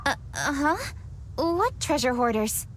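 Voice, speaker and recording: A woman voicing a brisk, earnest young noble commander in a game cutscene. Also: high voice, lively intonation, slight background noise. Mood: sheepish.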